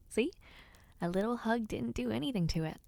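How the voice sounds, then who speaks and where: A woman voicing a playful, affectionate young noblewoman knight in an audio roleplay, speaking softly and teasingly and often dropping into whispers. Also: a little high, expressive, normal speed, vocal fry, dry recording. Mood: chatty, teasing. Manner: soft, teasing and intimate, drops to whispers.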